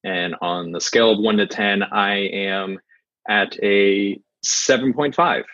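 Man, 20s, lowish voice, hesitant guest, dull dry recording. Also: slow pace, a bit bright, no echo. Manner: hesitant, many uh fillers. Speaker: podcast guest. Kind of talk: casual chat.

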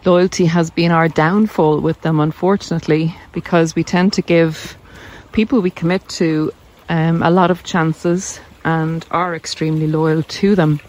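A woman with an Irish accent talking informally and reflectively to viewers while out on a walk, pausing as she explains. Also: sits low, fairly even intonation, darkish tone, poor audio. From Ireland. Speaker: video host, narcissism coach. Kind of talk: casual chat to camera while walking. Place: outdoors on a country walk.

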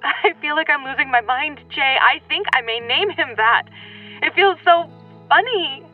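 A woman playing a tender, quirky, grieving pregnant young widow in a radio play, speaking intimately and haltingly. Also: high-pitched. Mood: tender grief. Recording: slightly dull recording with music behind.

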